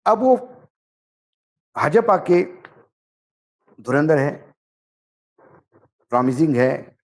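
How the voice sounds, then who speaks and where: A man giving political commentary, speaking emphatically and deliberately in short phrases as he recounts dialogue. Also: mid-range voice, a little mellow. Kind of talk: political commentary, narrating and translating a reported conversation.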